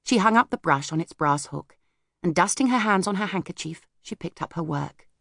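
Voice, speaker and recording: A woman's voice: on the low side, animated, normal speed, gravelly, fairly bright tone, dry recording, studio quality. A woman reading scripted children's fiction as an audiobook narrator.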